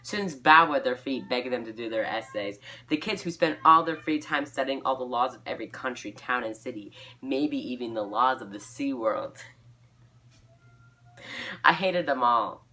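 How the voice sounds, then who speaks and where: Giggly woman reading her old writing out loud, bright voice, very animated, pokes fun at herself. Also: a bass voice, moderate pace. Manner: giggly, self-mocking. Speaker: video creator reading old fan fiction. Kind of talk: amused read-aloud with casual asides.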